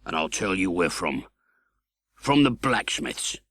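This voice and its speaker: Audiobook role: hardened English convict acted by a male reader, scornful, uneducated, low-pitched, brooding. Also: lively intonation, a bit bright, dry recording, clean studio sound, heavily acted. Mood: sullen, grudging. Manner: slow, grudging, uneducated speech.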